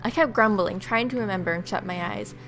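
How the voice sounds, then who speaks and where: A woman's voice: medium pitch, a little fast, poor audio. A woman hosting a let's play, reading game narration aloud dramatically with casual asides, music behind her. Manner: dramatic, expressive read-aloud.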